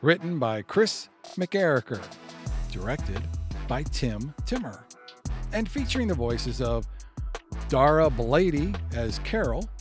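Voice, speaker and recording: Animated male host over light music. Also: on the high side, slow. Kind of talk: scripted credits announcement.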